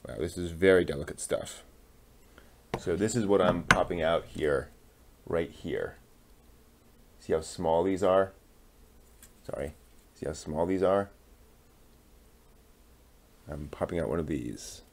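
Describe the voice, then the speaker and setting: A man casually talking through a hands-on hobby build, halting and muttering to himself as he works. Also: low voice, noisy background. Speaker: hobby channel host building a model kit on stream.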